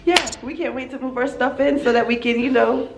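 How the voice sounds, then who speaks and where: A woman's voice: high voice, brisk, creaky, background noise. A woman in her thirties, a mother giving a casual, chatty tour of an empty house from a handheld camera, laughing often. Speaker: vlogger, mother.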